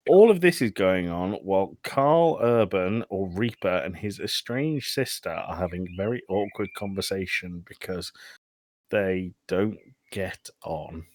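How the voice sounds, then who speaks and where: A man's voice: on the low side, no room sound. A man hosting a podcast, casually recapping a film with informal, sweary, dry humour. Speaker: podcast host.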